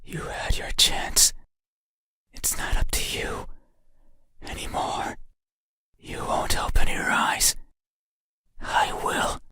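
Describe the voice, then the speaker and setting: A performer voicing an eerie, obsessive monster in a breathy whisper, speaking slowly and menacingly in short phrases for an ASMR horror roleplay. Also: bright, forceful tone. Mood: cold, commanding. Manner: slow, deliberate, menacing, broken into short phrases. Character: eerie, obsessive monster demanding you open your eyes.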